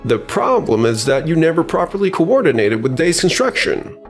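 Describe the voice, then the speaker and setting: Man acting indignant client with swooping pitch. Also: very animated, normal speed, soft background music. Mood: angry. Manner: formal, complaining. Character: indignant male client, stern, formal, accusing homebuyer. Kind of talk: expressive manga dub voice acting.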